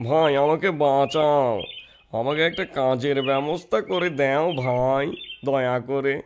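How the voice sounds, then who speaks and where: A man's voice: mid-range voice, unhurried, slight background noise, close to an everyday voice. A man playing a timid, hard-up cartoon husband who is an apprentice thief, speaking anxiously and pleadingly. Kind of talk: cartoon voice acting.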